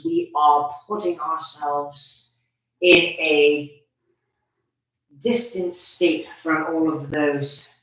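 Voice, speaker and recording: Slow, very echoey meditation teacher. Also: medium pitch. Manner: soothing, gentle, drawn-out phrasing with pauses. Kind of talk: guided meditation instruction. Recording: very echoey room, distant from mic.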